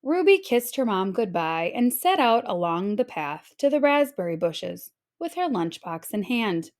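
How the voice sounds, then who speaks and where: A woman narrating a children's story aloud in a dramatic, expressive read-aloud style. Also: medium pitch, measured pace. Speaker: storybook reader.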